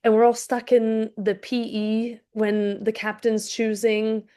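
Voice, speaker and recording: A young adult woman, hoarse from a cold, talking chattily with frequent restarts as a fitness coach in a live session. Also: fairly high pitch, little pitch movement, slow, warm tone. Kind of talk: casual live-streamed talk to a chat.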